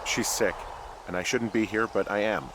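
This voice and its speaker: A man's voice: fairly even intonation, normal speed, background noise, lightly acted. An actor playing a weary, guarded middle-aged American man in a video game, talking in a hesitant, subdued, naturalistic way. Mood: somber, reluctant. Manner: hesitant, subdued, low-key. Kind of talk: naturalistic game dialogue over a handheld radio.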